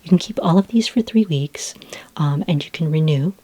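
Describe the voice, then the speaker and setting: Breathy, low-pitched whispering ASMR woman. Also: normal speed. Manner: gentle, helpful, hesitant. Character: friendly, patient futuristic library librarian. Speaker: ASMR roleplay performer. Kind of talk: soft-spoken ASMR roleplay. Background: computer keyboard typing.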